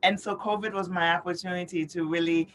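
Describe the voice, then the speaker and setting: A woman's voice: lowish voice, fairly even intonation, fairly quick, fairly bright tone. A middle-aged woman from New York City, born in Haiti, giving earnest, explanatory interview answers and often checking in with "you know" and "right?". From born in Haiti, raised in New York City. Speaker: interview guest, author and advocate.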